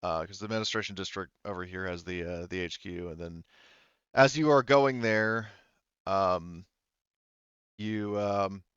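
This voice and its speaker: A man narrating a tabletop game as game master in a casual, improvised way, rambling and halting. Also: low-pitched, fairly bright tone, clean tone, no room sound, dull audio.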